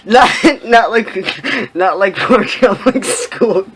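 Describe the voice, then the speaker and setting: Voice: medium pitch, drawn-out words, crowd noise. A co-host chatting casually and joking in reaction to someone else's reading.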